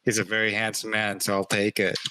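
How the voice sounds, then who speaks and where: A man's voice: fairly low pitch, monotone, brisk, pushed and bright, no room sound. A man guesting on a casual livestream interview, joking and easygoing. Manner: joking, easygoing, self-deprecating. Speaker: guest artist.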